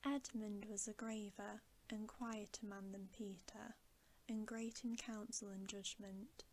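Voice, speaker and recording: A woman reading a bedtime story for ASMR in a soft, gentle, breathy voice that often drops to a whisper. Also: mid-range voice, flat delivery, slight background noise. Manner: hushed, unhurried, gentle. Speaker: ASMR reader.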